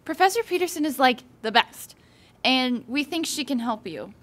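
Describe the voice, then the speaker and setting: Young woman acting as high-voiced eager college girl. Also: lively intonation, moderate pace, fairly bright tone. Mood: warm, eager. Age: young adult. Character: eager, caring young female college student. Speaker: student actor. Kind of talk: audio drama acting.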